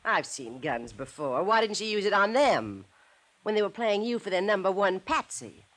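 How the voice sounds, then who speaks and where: A woman's voice: medium pitch, sing-song, normal speed, lightly acted. An actress playing a brazen, mocking, cynical woman with slangy, taunting delivery in a 1950s radio crime drama. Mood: taunting, bitter. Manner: taunting, slangy, hard-boiled. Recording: 1950s radio recording with music.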